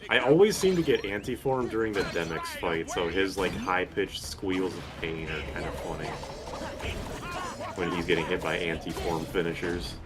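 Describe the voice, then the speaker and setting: A man with a very low voice chats through a video game in a casual, rambling way. He swears freely and plays the streamer. Game music runs clearly behind him. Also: normal speed. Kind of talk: casual gameplay commentary chat.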